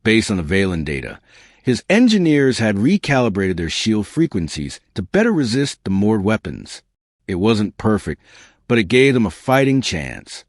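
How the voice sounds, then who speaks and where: A man reading a scripted science fiction story as an audiobook narrator, in a measured, dramatic storytelling delivery. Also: fairly low pitch, sing-song, no echo, clean studio sound.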